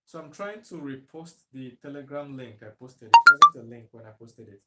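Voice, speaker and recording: A man's voice: mid-range voice, expressive, normal speed, bright, forceful tone. A Nigerian man talking on a live stream to viewers, emphatic, repeating himself and asking rhetorical questions. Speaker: livestreaming commentator.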